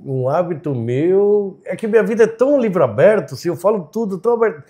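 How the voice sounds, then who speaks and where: A man's voice: sits high, expressive, brisk, dark tone. An Argentine-born man whose first language is Spanish, a chef and entrepreneur chatting openly as a podcast guest, often laughing and tagging lines with "né?". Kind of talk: casual interview chat.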